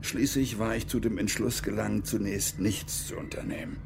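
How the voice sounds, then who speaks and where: A man's voice: on the low side, fairly even intonation, moderate pace, vocal fry, background noise. An actor playing a stern, agitated older army major and father in a radio crime drama. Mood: reflective recounting. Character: stern, agitated East German army major, father, recounting his past.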